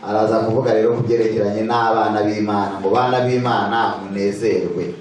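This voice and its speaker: A man's voice: on the low side, little pitch movement, a little fast, darkish tone. A man working as a church interpreter, relaying a sermon phrase by phrase after the preacher. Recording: echoey church hall from far off, faint noise.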